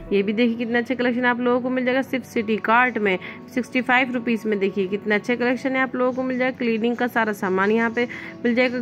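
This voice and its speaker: A woman shopping vlogger walking through store aisles with her phone, pointing out products and prices in brisk, upbeat, salesy patter. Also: fairly high pitch. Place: indoor retail store aisles.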